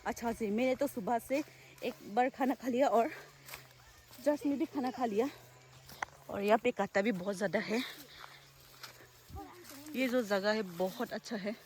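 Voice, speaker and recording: A young woman vlogging casually outdoors in the countryside, chatty and excitable, talking into a handheld phone. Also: a little high, a little slow, long pauses. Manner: chatty, excitable, switches between loud exclamations and quiet asides. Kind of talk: casual vlog narration while walking outdoors. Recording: faint outdoor background noise.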